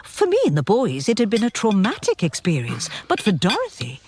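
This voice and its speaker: A woman's voice: mid-range voice, wildly swooping pitch, a little fast, noisy background. An actress playing a diva's loyal, patient female companion, narrating and chatting in a radio play. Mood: wry, reflective.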